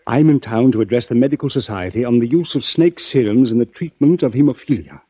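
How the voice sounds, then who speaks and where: An actor playing a desperate, earnest doctor and snake expert in an old-time radio drama, polite, halting and pleading. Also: male voice, mid-range voice, husky, dry recording, lightly acted. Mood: anxious, insistent. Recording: dull, dry vintage radio recording.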